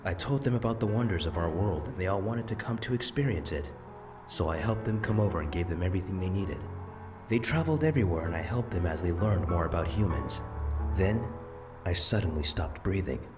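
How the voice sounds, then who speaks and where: A man playing the kindly, proud spirit of a grandfather in a visual novel, gentle and earnest. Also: low-pitched, lively intonation, fairly quick, music in the background. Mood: explaining. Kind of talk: visual novel character dialogue.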